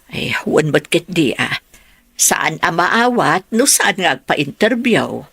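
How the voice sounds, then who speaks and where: An actress playing a worried older mother with a creaky voice in an Ilocano radio drama. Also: on the low side, big pitch swings, slow, fairly bright tone, no echo, exaggerated voice. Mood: fretful. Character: worried older mother longing for her daughter.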